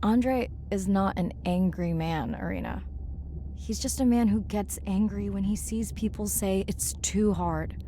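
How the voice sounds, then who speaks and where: A woman playing a thoughtful, earnest deep-sea scientist in a game, speaking naturally and reflectively. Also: a little slow, warm tone, a little hiss or hum, lightly acted. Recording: music under the voice.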